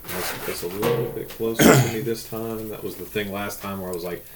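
Fast-talking low-voiced man, noisy track. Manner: quick, easygoing banter. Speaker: podcast guest. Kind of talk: casual chat. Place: home studio.

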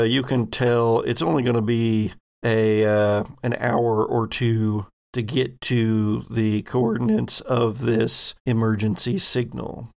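Guy running a space rpg, narrating slow and drawn out, bit of vocal fry. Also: mid-range voice. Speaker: game master. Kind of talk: narrating a sci-fi tabletop game.

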